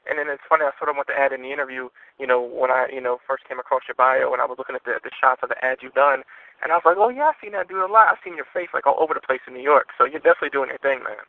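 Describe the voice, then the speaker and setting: A man's voice: medium pitch, very fast, vocal fry, no room sound. A man conducting a casual interview over the phone, informal and laid-back in his wording. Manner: laid-back, informal, frequent short acknowledgements. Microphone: telephone. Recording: muffled narrow phone line.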